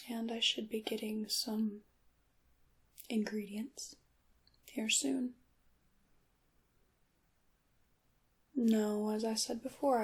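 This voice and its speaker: A woman voicing a mysterious, centuries-old witch shopkeeper in an ASMR roleplay, soft-spoken and breathy, often slipping into whispers, with courteous old-fashioned phrasing and a coy, wry manner. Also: a little high, heavily acted. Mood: apologetic, measured.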